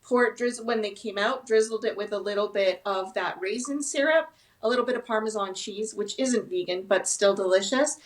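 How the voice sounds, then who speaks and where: A woman's voice: mid-range voice, brisk, few pauses. A woman chatting casually as a food expert guest in an interview.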